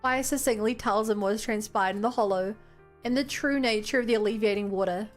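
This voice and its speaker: A woman's voice: mid-range voice, brisk, faint music behind. A woman with a New Zealand accent, a game streamer chatting casually and reading on-screen text aloud.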